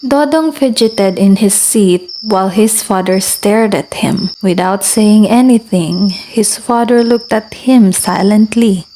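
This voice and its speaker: A woman's voice: mid-range voice, a little slow, hardly any pauses, mellow, a little hiss or hum, low-quality recording. A woman presenting and narrating a scripted radio drama as its host. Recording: music playing behind the voice. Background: background music.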